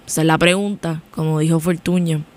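A woman's voice: sits low, fairly even intonation, brisk. A young woman with a Puerto Rican accent hosting a college radio show, chatting in a rambling way and switching between Spanish and English mid-sentence. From Puerto Rico. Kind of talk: casual on-air chat.

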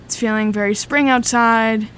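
A young woman co-hosting a college radio show as DJ, talking in a relaxed, laid-back way. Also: fairly high pitch, normal speed, a little hiss or hum. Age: young adult. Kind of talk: casual chat. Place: radio studio. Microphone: studio mic with headphones.

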